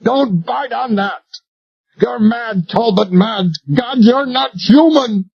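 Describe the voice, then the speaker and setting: Man putting on a panicked captive, high shrieking, stammering, husky, dull lo-fi. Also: very animated, slow pace, full character voice. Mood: horrified. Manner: screaming, desperate, stammering. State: choking, out of breath. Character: terrified man begging for his life. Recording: dull lo-fi recording.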